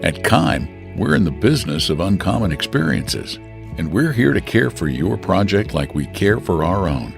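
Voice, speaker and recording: A man's voice: deep voice, sing-song, moderate pace, vocal fry. A man with an American accent narrating a scripted radio advertisement. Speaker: commercial narrator. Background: prominent music with guitar.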